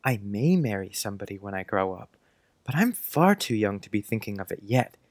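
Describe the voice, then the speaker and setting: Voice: deep voice, very animated, fairly quick, dry recording, heavily acted. An actor playing an earnest, precocious young schoolboy who chats dreamily in quaint grown-up phrases, in a dramatized audiobook. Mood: earnest, troubled. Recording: music under the voice.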